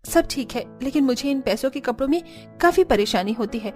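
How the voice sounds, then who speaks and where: A gentle young daughter-in-law in a cartoon tale, played by a woman. Her voice is high and sounds wounded and troubled, with background music under it. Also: lively intonation, brisk. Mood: uneasy. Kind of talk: animated story character dialogue.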